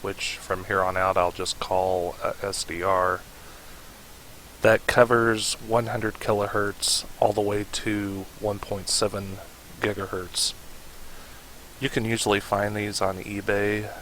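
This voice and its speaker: A man with a fairly low voice hosts a casual how-to tutorial. He speaks slowly and haltingly, pausing to think, with very little rise and fall in his tone. A faint steady hiss sits behind him. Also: low-quality recording. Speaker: tutorial host.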